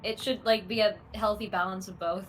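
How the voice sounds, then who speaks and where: A woman's voice: medium pitch, fairly even intonation, fairly quick. A woman co-commentating in a casual chat on an online group voice call. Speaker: co-commentator on a reaction show. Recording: faintly noisy voice call.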